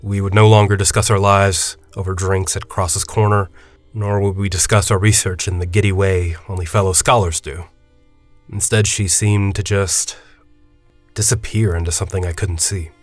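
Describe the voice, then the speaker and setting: An actor playing a forty-year-old university headmaster in an audio drama, a secretive academic who speaks in a deliberate, articulate, confiding way. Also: male voice, low voice, flat delivery, dry recording, faint music behind, close to an everyday voice. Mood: confiding, somber. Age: 40s. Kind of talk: audio drama dialogue and storytelling.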